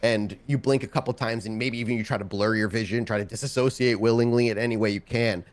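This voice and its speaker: A man working as game master, narrating a tabletop game off the cuff and often pausing to search for words. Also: fairly low pitch, fairly even intonation.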